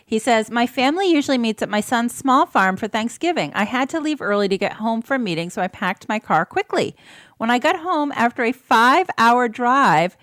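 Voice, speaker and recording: A woman with a distinctly high voice chats casually on a panel. She is enthusiastic and gushes as she tells little stories. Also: lively intonation, normal speed, fairly bright tone, no echo, clean studio sound. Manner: gushing, enthusiastic. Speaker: podcast panelist. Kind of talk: casual chat.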